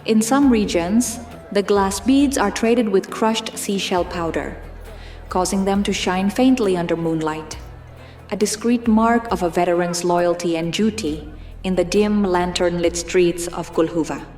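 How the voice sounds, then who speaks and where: Woman reading fantasy lore like a documentary, lowish voice, formal and measured, roomy w faint music. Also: darkish tone. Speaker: narrator. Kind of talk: scripted documentary-style narration of fantasy lore.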